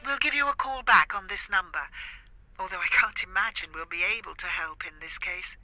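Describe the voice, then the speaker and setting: A woman's voice: on the low side, brisk, pushed and bright, faint music behind, low-quality recording. A woman playing a harried, unhelpful helpline official in an audio drama, officious yet apologetic, heard through a telephone filter. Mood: apologetic, dismissive.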